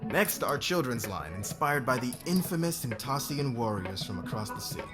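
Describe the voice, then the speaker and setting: A man acting as a demanding, controlling boss in an audio roleplay, speaking with measured, commanding formality. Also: mid-range voice, sing-song, over loud music, lightly acted. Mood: polished, confident.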